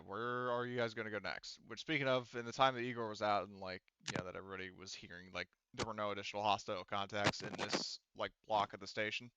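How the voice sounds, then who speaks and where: A man's voice: fairly low pitch, pushed and bright, smooth, no room sound. A man speaking as game master, casually explaining rules and options in a rambling, conversational way with some swearing, on slightly dull voice-chat audio. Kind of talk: casual tabletop game narration.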